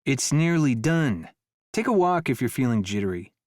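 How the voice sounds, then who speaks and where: A man's voice: medium pitch, sing-song, moderate pace, a little mellow, no echo, lightly acted. A man acts out a laid-back guy who makes props and is sure of his own skill. He sounds easygoing and self-assured, with a paranoid streak, in a closely recorded, dry audiobook drama. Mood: breezy, confident. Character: easygoing, paranoid male prop maker, cocky about his craft. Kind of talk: full-cast audiobook dialogue acting.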